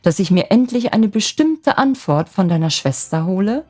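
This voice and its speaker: A woman's voice: mid-range voice, big pitch swings, normal speed, mellow, soft background music, voice pushed up. A woman voicing an ardent, cheerful young draftsman who woos his sweetheart in an eager, wheedling manner, in a storybook tale. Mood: hopeful, eager.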